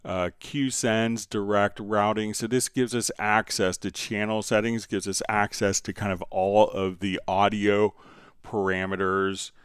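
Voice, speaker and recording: A man with a low, smooth voice hosts a casual live tutorial. He explains things conversationally, like an instructor chatting with viewers. The sound is dry and close. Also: level tone, measured pace, no echo. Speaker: live stream host and software instructor.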